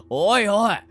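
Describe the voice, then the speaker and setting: A man acting in an audio drama as a cocky veteran death-game player who teases his rivals in rough, casual speech. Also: very high voice, fairly bright tone, exaggerated voice.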